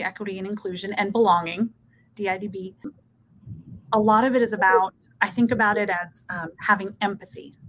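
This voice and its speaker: A woman's voice: mid-range voice, a bit bright, a little hiss or hum, poor audio. A woman in her thirties from Peru, talking earnestly as an interview guest and often checking in with "right?". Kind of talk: casual interview talk.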